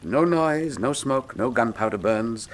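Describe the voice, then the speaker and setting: Actor playing a theatrical, animated English detective. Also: male voice, medium pitch, unhurried, natural voice. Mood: dry sparring. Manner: theatrical, precise, formal diction. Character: shrewd, imperious, wryly witty English male detective. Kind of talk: full-cast radio drama acting.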